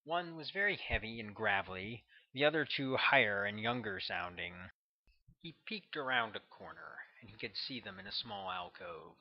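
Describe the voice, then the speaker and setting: A man's voice: on the low side, animated, normal speed. A man narrating his own novel as a scripted audiobook. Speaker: author reading own audiobook. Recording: dull, lo-fi recording.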